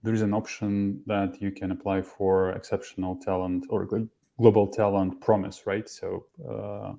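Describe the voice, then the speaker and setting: Deep gravelly guy on a live stream interview, flat, kinda hesitant, lots of uhs. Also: moderate pace. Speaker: interview guest, startup founder.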